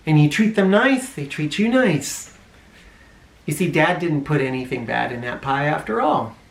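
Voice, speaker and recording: A man's voice: on the high side, lively intonation, normal speed, gravelly. A man reading a children's story aloud expressively, with dramatic emphasis, as if speaking straight to young listeners. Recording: roomy, echoing, somewhat distant microphone.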